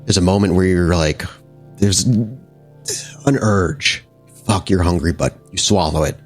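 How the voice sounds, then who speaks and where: Male game master, low voice, improvised dramatic narration with halting delivery, soft music underneath. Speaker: game master of a vampire tabletop game podcast.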